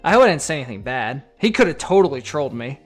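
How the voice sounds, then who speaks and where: A man's voice: mid-range voice, big pitch swings, fast talker, faint music behind. A man chatting casually on a live stream, reading viewer donations and comments aloud. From United States. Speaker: card collector streamer.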